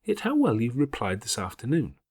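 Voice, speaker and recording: A man voicing a patient, devout, bookish older schoolgirl in an audiobook, speaking in a measured, earnest, moralising way. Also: mid-range voice, huge pitch swings, a little mellow, husky, dry recording, clean studio sound, voice pushed up, heavily acted. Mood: grave, self-reproachful. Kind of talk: audiobook character voice.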